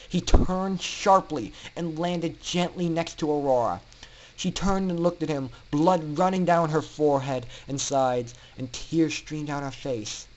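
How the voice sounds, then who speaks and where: A man with a slightly high voice narrates fiction dramatically. His delivery is theatrical and emphatic, leaning on pauses for suspense. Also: low-quality recording. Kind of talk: dramatic fan-fiction story reading.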